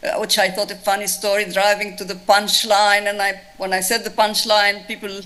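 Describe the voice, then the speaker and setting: A woman's voice: mid-range voice, little pitch movement, normal speed, pushed and bright. A woman with a Tunisian accent, a lecturer answering an audience question thoughtfully, with frequent restarts. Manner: thoughtful, restarts often, many fillers. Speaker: lecturer on Islamic art.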